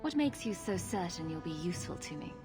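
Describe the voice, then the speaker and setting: A woman's voice: on the low side, sing-song, fairly quick. An actress playing a guarded young adventurer woman in a video game, questioning in a cool, dry manner. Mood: skeptical. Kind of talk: video game cutscene dialogue. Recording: music behind the voice. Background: background music.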